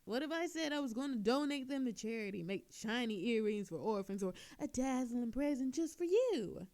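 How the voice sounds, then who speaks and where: Woman acting a high-voiced, flirty lady thief. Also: lively intonation, moderate pace, mellow. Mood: coy, flirtatious. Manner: coy, sarcastic, theatrical teasing. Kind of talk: scripted audio roleplay acting.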